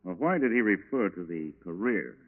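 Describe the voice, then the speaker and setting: An actor playing a terse, businesslike federal agent in a 1940s radio crime drama. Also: male voice, low voice, animated, moderate pace. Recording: tinny, band-limited 1940s radio recording.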